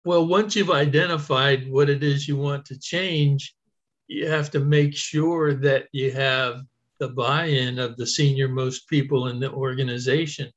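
A man taking part in an online training discussion, speaking in a measured way and thinking aloud as he goes. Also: medium pitch, fairly even intonation. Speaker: training participant. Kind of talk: casual discussion. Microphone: video call. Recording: muffled lo-fi call audio.

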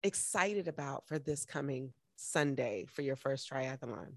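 Animated American woman hosting, close dry sound. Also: a bass voice, unhurried, gravelly, no room sound. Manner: animated, encouraging, emphatic. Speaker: podcast host. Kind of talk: casual interview chat.

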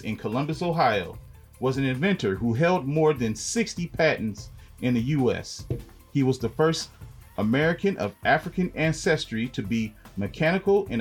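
Higher-pitched man reading aloud over music. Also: slightly rough. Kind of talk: scripted read-aloud segment.

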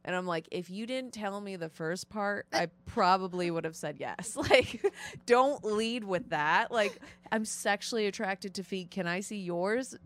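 A woman's voice: medium pitch, measured pace, a bit bright. A young woman from the Chicago suburbs chatting casually on a podcast, swearing often and filling her talk with fillers. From Chicago North Shore suburbs.

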